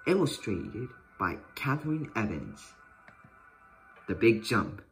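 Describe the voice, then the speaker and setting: A man's voice: on the low side, very animated, slow pace, faint music behind. A man reading a children's storybook aloud as narrator. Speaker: storybook reader.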